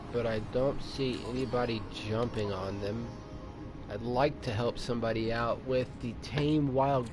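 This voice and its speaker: Chatty gamer man, slight British accent. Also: medium pitch. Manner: chatty, pleading for company, laughs and whoops. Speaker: gamer streaming solo play. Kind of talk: casual gameplay commentary. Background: game music.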